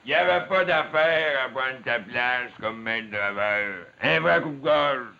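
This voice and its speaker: A man's voice: mid-range voice, a little slow, gravelly, bright, forceful tone. A man acting as a middle-aged village mayor in a 1950s television drama, sly and folksy, with a Quebec French accent. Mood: sly, goading. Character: sly village mayor, needling and falsely modest. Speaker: actor playing a village mayor. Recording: muffled, hissy 1950s television soundtrack.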